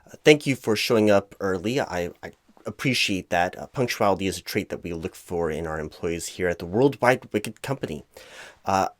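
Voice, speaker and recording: A man playing a flustered, distracted hiring manager in a comedic audio roleplay, speaking in a halting, stammering, awkwardly formal way. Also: sits low, lively intonation. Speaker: performer playing a job interviewer. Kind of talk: one-sided comedic audio roleplay, loud spoken.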